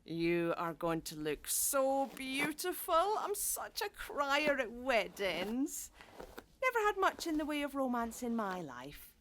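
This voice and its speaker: A woman playing a chatty, motherly middle-aged housekeeper in a gently comic audio drama, with a bustling, colloquial, exclamatory manner. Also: high voice, wildly swooping pitch, slow pace, a little hiss or hum, exaggerated voice. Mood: sentimental, chatty.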